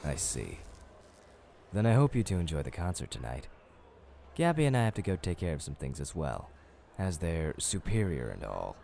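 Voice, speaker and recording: A man playing a suave, intimidating supervisor in an audio drama, speaking in a cool, formal, measured way. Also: deep voice, sing-song, creaky, warm tone, a little hiss or hum, full character voice. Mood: smooth, probing. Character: suave, intimidating supervisor, secretly a famous musician.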